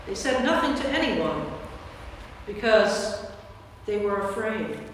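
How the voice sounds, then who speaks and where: A woman narrates scripture as a priest in a staged reading. Her voice has a middle pitch, heard at a distance in a long church reverb with faint noise. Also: big pitch swings, takes it slowly. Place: church, live before audience.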